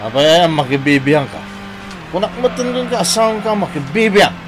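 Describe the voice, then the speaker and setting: An actor playing a belligerent drunk husband in an Ilocano radio drama, with slurred, aggressive bluster. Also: male voice, fairly high pitch, animated, moderate pace, noisy background, close to an everyday voice. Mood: drunken, irritated. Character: belligerent drunk husband threatening neighbours with a gun.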